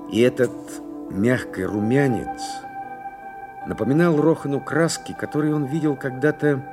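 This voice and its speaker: A man narrating a science-fiction audio drama in a measured, solemn storytelling manner. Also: fairly low pitch. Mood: calm, wistful.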